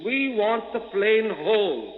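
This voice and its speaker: An actor playing a grave, commanding old spymaster, issuing orders in formal, imperious, deliberate phrases in a 1940s radio adventure. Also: male voice, high voice, very animated, full character voice. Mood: stern, commanding. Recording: muffled old radio recording, cavernous reverb.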